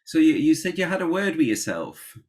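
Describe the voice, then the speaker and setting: A woman interviewing a runner, asking halting questions with many restarts and encouraging murmurs. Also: sits low, very animated, darkish tone. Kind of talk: casual interview.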